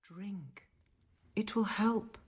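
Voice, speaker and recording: An actress playing a patient, devout middle-aged nun and apothecary in a radio drama, speaking in a measured, gently instructive way. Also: female voice, mid-range voice, a little hiss or hum. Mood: calm concern.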